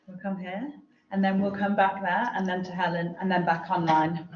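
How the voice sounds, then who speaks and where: A woman chairing the session, briskly setting the order of questions. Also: on the low side, steady tone, highs cut off. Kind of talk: organizing questions. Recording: distant, echoey hall audio.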